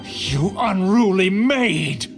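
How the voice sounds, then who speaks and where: An actor playing a haughty, scheming middle-aged noble uncle in a video game, pompous and theatrically indignant. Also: male voice, high voice, expressive, drawn-out words, faint music behind, exaggerated voice. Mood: outraged.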